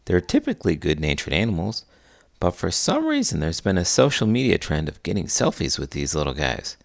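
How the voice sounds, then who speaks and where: A man's voice: low-pitched, huge pitch swings, brisk, creaky. A man hosting a children's read-aloud, explaining things in a chatty, educational teacher's way. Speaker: host, elementary school teacher. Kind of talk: friendly educational chat for children.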